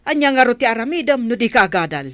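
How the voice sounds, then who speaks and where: Radio drama mother: woman, stern, exasperated, very animated pitch swings. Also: fast talker, no echo. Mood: scolding. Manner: scolding, insistent, exasperated. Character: stern, status-conscious mother of grown children.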